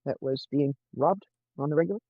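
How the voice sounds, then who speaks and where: A man from California with an American accent, chatting casually in a halting, hesitant way. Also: fairly high pitch, no room sound, phone-quality sound. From California, United States. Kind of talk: casual chat.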